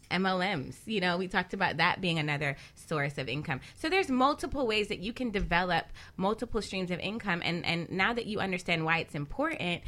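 Female radio co-host, financial advisor type. Bright, forward voice, conversational explainer, poses questions to the listener. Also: lowish voice.

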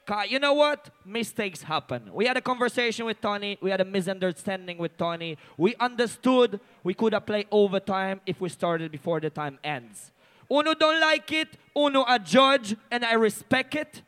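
A man's voice: high-pitched, normal speed, smooth. A man from Poland working as a soundclash MC, talking in a defiant, boastful, sweary way with Jamaican patois phrases over a live sound system. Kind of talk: combative soundclash stage talk. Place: soundclash venue, on stage. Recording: loud live sound system, music behind.

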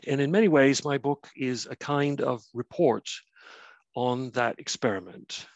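A Canadian man in his fifties, an author giving a prepared remote lecture, measured and full of uh and um fillers. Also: mid-range voice, no echo, dull audio. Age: 50s. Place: his flat, remote talk.

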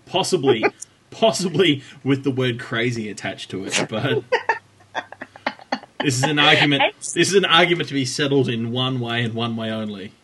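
A man's voice: mid-range voice, animated, normal speed, noisy background. A man co-hosting a podcast, chatting casually and teasing his co-host with dry humour. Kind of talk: casual chat about women's cycling.

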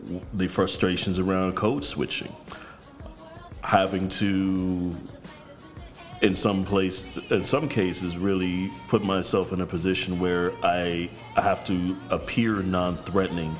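A man's voice: level tone, slow pace, faint music behind. A man, a podcast guest who talks directly and bluntly and swears freely. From New York. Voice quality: deep voice. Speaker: guest, counselor. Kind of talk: candid podcast conversation.

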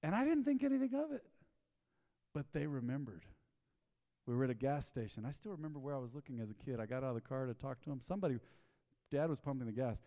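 A man, preaching a sermon in a folksy storytelling way, dropping to low asides and rising to loud emphatic bursts. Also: sing-song, fast, long pauses, in a large hall. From Texas. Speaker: pastor. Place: church sanctuary.

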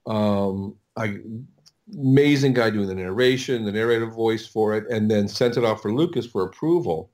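A middle-aged man with a fairly low voice talks casually as an interview guest. He is a producer recounting his work in a halting, rambling way. He often repeats words and restarts his sentences. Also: a little mellow.

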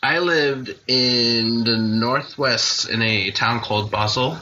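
A man with a very bright, sharp-edged voice, talking casually on a podcast and explaining at length with an easy laugh. He grew up in Switzerland. There is faint noise behind him. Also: mid-range voice, slow, low-quality recording. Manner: explaining patiently, laughs easily. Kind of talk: casual chat.

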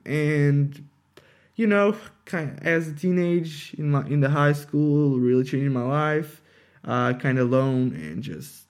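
A nineteen-year-old man, a native Portuguese speaker with a Portuguese accent, hosting an unscripted personal podcast in a halting, hesitant way, often searching for words. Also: fairly high pitch. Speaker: podcast host.

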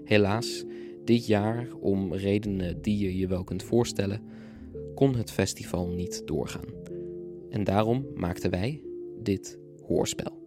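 A man's voice: fairly low pitch, slow. A man reading a scripted introduction as the presenter of an audio drama podcast. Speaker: podcast presenter from a theatre collective. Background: guitar music.

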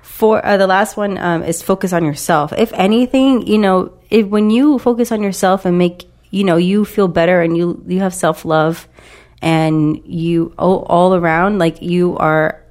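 A woman with a lowish voice talks in a loose, rambling way, full of fillers. She is getting over a cold and sniffles now and then during the relaxed chat. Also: unhurried. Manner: rambling, many fillers like "like" and "you know". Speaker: podcast co-host. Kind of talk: casual dating-advice chat.

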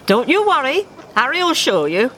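A woman's voice: high-pitched, very animated, normal speed, sharp tone, voice pushed up, full character voice. A woman voicing a reassuring, homely mother duck with a colloquial manner, in a storybook tale. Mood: encouraging. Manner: colloquial, encouraging. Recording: live theatre recording with background noise.

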